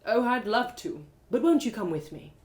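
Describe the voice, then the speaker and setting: A woman's voice: on the high side, sing-song, moderate pace, darkish tone, voice pushed up. A woman voicing a sly, haughty male elf who drawls with mocking politeness in a told fantasy story. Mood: silky, mocking. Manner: drawling, falsely polite, taunting.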